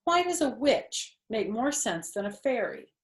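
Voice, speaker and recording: A woman's voice: on the high side, big pitch swings, measured pace, pitched above the performer's own voice. A woman voicing a patient, puzzled father in a storybook, reassuring and explaining a little hesitantly. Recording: roomy, echoey home recording.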